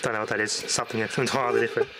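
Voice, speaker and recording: A man's voice: mid-range voice, little pitch movement, rapid-fire, vocal fry. A man casually talking through a hands-on demonstration, rambling and muttering to himself as he works. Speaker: hobbyist vlogger testing radios. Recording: loud noise with handling clicks.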